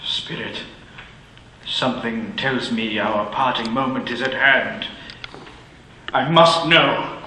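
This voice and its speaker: A man's voice: medium pitch, slow pace, long pauses, pushed and bright, slight background noise. An actor playing an older male miser who is frightened and pleading, in a radio drama. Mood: urgent. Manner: anguished, rising to desperate cries. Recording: very roomy reverb, faint music.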